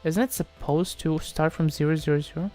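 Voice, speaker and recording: A man's voice: a little high, fairly even intonation, a little fast, a little mellow, soft background music. A man from Moldova chatting casually on a livestream as he answers viewers, hesitant, often repeating himself and trailing off. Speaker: live streamer answering chat questions.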